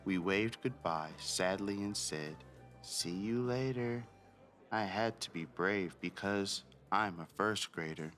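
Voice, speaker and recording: A man's voice: low-pitched, measured pace, hardly any pauses, fairly bright tone, faint music behind. A man hosting a read-aloud for children, narrating expressively and speaking directly to his young listeners.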